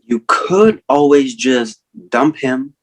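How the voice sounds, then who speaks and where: A man's voice: medium pitch, expressive, slow pace. A man playing a blunt, casual, sardonic best friend in a comic staged reading. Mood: cajoling.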